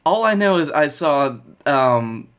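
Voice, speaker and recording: A man's voice: on the high side, lively intonation, slow pace, fairly bright tone, smooth. A man in his twenties chatting casually as a podcast co-host. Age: 20s. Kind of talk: casual banter about wrestling. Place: bedroom, on a video call.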